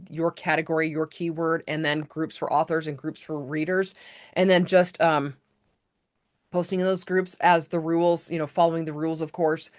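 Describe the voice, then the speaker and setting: A woman hosting a casual live online training, chatty and rambling, correcting herself and filling pauses with "um". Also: lowish voice. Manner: chatty, rambling, self-correcting with many fillers. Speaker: host of a live author-business training.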